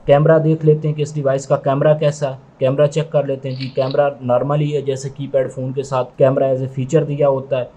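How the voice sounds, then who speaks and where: A man's voice: medium pitch, little pitch movement, a little fast, hardly any pauses, warm tone. A man giving product review commentary, explaining methodically and repetitively, step by step.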